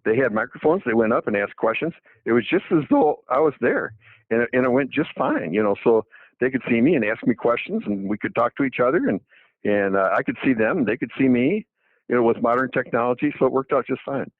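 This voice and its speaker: A man's voice: medium pitch, animated, brisk, dry recording. A man in his seventies, a doctor, talking casually on the radio with many restarts and fillers. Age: 70s. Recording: phone-like dry narrow line.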